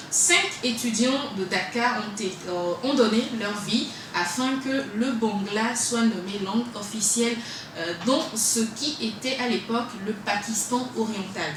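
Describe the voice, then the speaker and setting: Chatty woman radio host, echoey and distant. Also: mid-range voice. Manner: chatty, hesitant with frequent fillers. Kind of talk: casual radio chat. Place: web radio studio. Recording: echoey room from far off, noisy.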